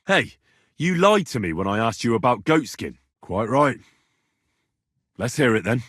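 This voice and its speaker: Young medieval commoner, slightly rough husky voice, animated pitch, earnest, cheeky, colloquial, video game dialogue. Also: male voice, measured pace, no room sound, studio quality, full character voice. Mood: probing, firm. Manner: colloquial, questioning, bantering.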